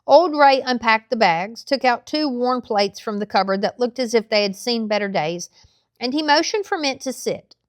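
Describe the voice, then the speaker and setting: A woman narrating a children's novel aloud in a measured, expressive storytelling style. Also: a little high, no echo, clean studio sound.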